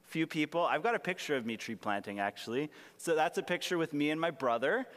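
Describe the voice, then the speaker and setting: Raspy young pastor in a big hall. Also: male voice, on the high side, lively intonation, fairly quick, a bit bright. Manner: earnest, self-deprecating, conversational with humor. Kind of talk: sermon with personal stories. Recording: long reverb of a large hall.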